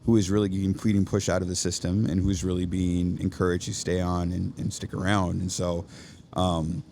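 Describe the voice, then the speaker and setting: A man's voice: sits low, little pitch movement, a little fast, creaky, a little mellow, slight background noise. A man being interviewed about education on a podcast, talking earnestly and checking in with "right?" as he thinks aloud. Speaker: podcast guest, education nonprofit leader. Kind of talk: casual interview chat. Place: coffee shop.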